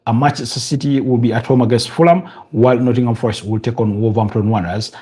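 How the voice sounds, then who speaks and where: Ugandan man hosting a football stream, husky and mellow, rambling with lots of fillers and restarts. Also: mid-range voice, fairly even intonation. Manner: halting, many fillers and restarts, chats with viewers. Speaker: football podcast host. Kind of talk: casual live-stream football preview.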